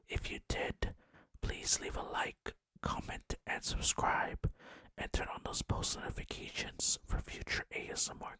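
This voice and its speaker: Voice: pushed and bright, no echo. A gaming video host narrating in ASMR style, whispering throughout. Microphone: close, dry microphone.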